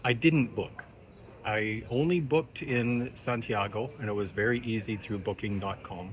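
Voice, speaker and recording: A man's voice: fairly low pitch, a little slow. A man in his sixties from Canada, answering street-interview questions in an easygoing, hesitant way with many fillers. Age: 60s. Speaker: interviewed pilgrim. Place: outdoors by a shopfront awning. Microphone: lapel mic.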